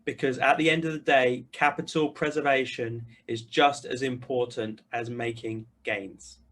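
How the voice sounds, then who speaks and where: A man's voice: mid-range voice, fairly even intonation, normal speed, fairly bright tone. A middle-aged man with a British accent, earnestly introducing himself and speaking directly to his listeners as a video host. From United Kingdom. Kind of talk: personal introduction to camera, semi-scripted.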